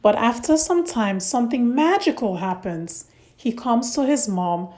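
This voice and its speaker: A woman hosting and narrating a read-aloud story for young children in simple, deliberate phrasing. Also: on the high side, animated, a little mellow.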